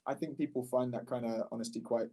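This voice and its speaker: A man's voice: medium pitch, steady tone, quick pace, mellow. A man speaking casually as a podcast guest and startup founder, candid and often rephrasing mid-sentence. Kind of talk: casual conversational podcast interview.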